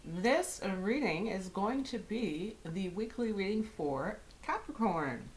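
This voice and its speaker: A woman with a head cold and a slightly raspy throat, hosting and talking through a weekly tarot card reading in a soft, unhurried way. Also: mid-range voice, lively intonation. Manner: soft, unhurried, with whispered invocations. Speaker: tarot reader.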